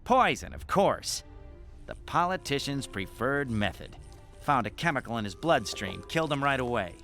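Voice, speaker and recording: An actor playing a brash, smug alien police lieutenant in a cartoon, clipped and condescending. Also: male voice, mid-range voice, big pitch swings, normal speed, fairly bright tone, soft background music. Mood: smug, brusque.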